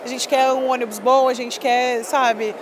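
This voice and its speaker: Ex-volleyball player lady, high voice, talks fast and emphatic, lots of filler, noisy expo hall behind. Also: fairly even intonation. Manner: chatty, emphatic, many filler "né". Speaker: former volleyball player, interviewee. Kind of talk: casual interview. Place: busy expo hall, indoors. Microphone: handheld mic held by interviewer.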